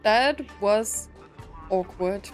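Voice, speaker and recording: A woman game streamer giving casual playthrough commentary in short, unhurried reactions and read-out dialogue. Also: mid-range voice, faint music behind.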